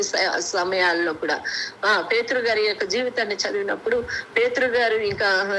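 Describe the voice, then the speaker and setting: A woman from India preaching an extemporaneous Bible sermon, earnest and halting, often restarting her words, over a conference call. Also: a little high, pushed and bright. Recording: noisy conference call audio.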